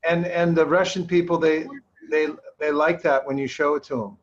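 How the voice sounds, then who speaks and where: A man speaking to a group as a spiritual teacher, halting and repetitive, with many restarts. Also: fairly high pitch, steady tone, highs cut off. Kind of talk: warm encouragement and questions to a group.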